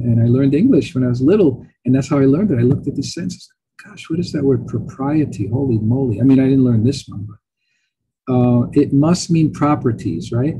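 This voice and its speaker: A man's voice: mid-range voice, warm tone, a little hiss or hum, rough recording. A middle-aged man whose first language is Italian, giving a chatty lecture as a professor, thinking aloud with fillers and asides.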